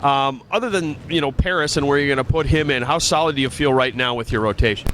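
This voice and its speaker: A man's voice: mid-range voice, fast talker, fairly bright tone, rough recording. Man interviewing, faint noise behind. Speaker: sports play-by-play announcer interviewing. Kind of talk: sports interview.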